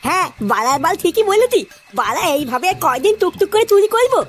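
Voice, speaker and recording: A woman's voice: extremely high pitch, very animated, fast, a bit bright, soft background music, exaggerated voice. A woman voicing a bossy, foul-mouthed male petty thief in a comic cartoon, scolding impatiently.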